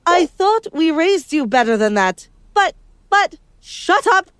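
A woman voicing a loud, scolding middle-aged mother in an animated story. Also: high-pitched, slow pace, no echo, clean studio sound. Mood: furious.

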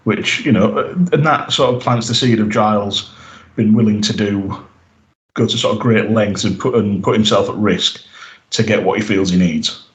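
A man's voice: fairly low pitch, moderate pace, roomy sound, low-quality recording. A man co-hosting a podcast, recapping an episode in casual chat, rambling with lots of "you know" and "uh". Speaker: podcast co-host.

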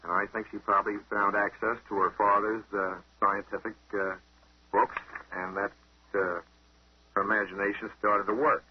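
A man with a fairly low, husky voice gives halting answers as a guest sleuth. The sound is that of a muffled old-time radio broadcast. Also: little pitch movement. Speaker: guest armchair detective. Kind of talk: hesitant answering on radio show.

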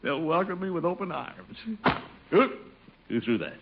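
An actor playing a pompous, easily flustered middle-aged water commissioner in a blustery 1950s radio sitcom style. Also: male voice, on the high side, lively intonation, normal speed, gravelly. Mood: brooding. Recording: muffled old radio recording, faint hiss.

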